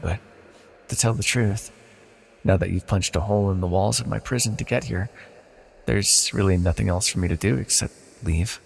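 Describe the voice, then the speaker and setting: A man playing an ancient godlike villain, seductive and softly manipulative, with a gravelly, breathy voice in an intimate fantasy audio roleplay. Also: lowish voice, long reverb tail, full character voice. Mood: regretful, apologetic.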